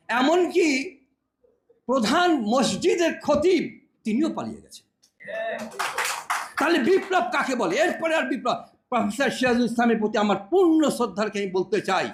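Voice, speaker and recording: An older Bangladeshi man speaks in an echoey space, his voice pitched high. He delivers a political speech with emphatic, instructive phrasing and swings widely in pitch as he puts questions to his listeners. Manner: emphatic, didactic, rhetorical questions. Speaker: public speaker. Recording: echoey room sound.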